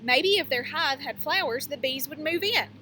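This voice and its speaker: A woman teacher reading a picture book aloud to young children, pausing to explain things and ask them questions. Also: high voice, lively intonation, bright, forceful tone, a little hiss or hum.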